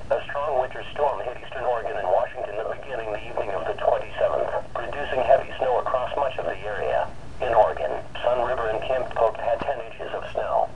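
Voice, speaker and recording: A synthetic-sounding man reading an automated weather bulletin in a measured, formal way through a radio speaker. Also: mid-range voice. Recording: muffled, noisy weather radio broadcast.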